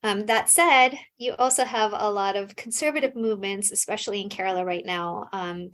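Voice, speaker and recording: A woman's voice: medium pitch, normal speed, fairly bright tone. A woman from the United States, a scholar guest in an interview, explaining thoughtfully with frequent fillers and restarts. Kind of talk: casual interview answers.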